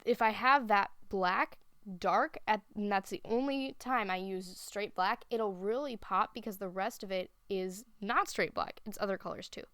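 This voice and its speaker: A woman giving a relaxed voice-over, explaining her painting steps as she thinks aloud. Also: medium pitch, normal speed, clean tone, no echo, studio quality. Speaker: art instructor. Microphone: voice-over recorded separately.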